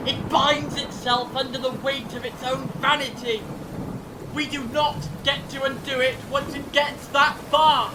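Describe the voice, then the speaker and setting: An actor playing a clever, grave time-travelling adventurer in a fan audio drama, explaining things in a measured, dramatic way. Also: steady tone. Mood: resolute. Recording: distant mic, rumbling storm-like noise.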